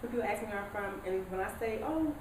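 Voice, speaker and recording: A woman from Washington DC speaking in a group discussion, lively and acting out what others say to her. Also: medium pitch, quick pace. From Washington DC, USA. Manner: animated, acts out quoted voices. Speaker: panelist. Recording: echoey room, far mic, noisy.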